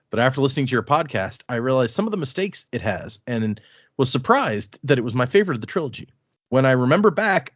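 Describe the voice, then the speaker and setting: A man in the host's chair reads a listener email aloud, his voice at a middle pitch and swinging up and down. He keeps breaking off to add quick asides of his own before picking the letter back up. The sound is close and dry, with a slightly dull, lo-fi edge. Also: no echo. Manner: reads with lively asides and comments between lines. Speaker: podcast host.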